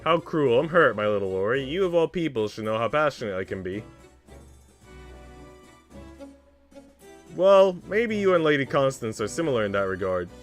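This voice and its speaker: A man's voice: medium pitch, very animated, fast, lightly acted. Man voicing a teasing, affectionate maid. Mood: teasing, mock hurt. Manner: playful, old-fashioned courtly phrasing. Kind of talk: visual novel character read aloud. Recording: music playing behind the voice.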